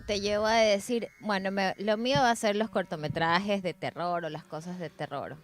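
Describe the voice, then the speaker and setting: A woman's voice: mid-range voice, moderate pace. A woman co-hosting a casual conversation, commenting and putting questions to a guest. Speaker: podcast co-host. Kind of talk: casual chat, asking and commenting.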